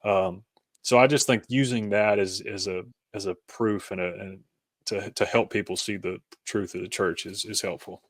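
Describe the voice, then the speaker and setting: A man's voice: fairly low pitch, fairly even intonation, moderate pace, no room sound. A man speaking as an interview guest, explaining his thoughts conversationally in a hesitant, rambling way. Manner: hesitant, rambling, peppered with "you know" and "kinda". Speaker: podcast guest, author. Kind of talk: conversational interview, explaining personal faith journey.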